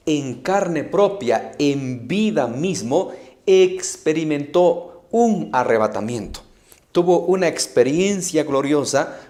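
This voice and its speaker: A man from Guatemala, preaching an emphatic sermon full of rhetorical questions and loud bursts. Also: mid-range voice.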